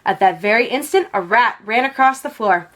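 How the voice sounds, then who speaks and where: Female storybook narrator, expressive, highish voice, very lively intonation. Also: moderate pace. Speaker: storybook read-aloud narrator.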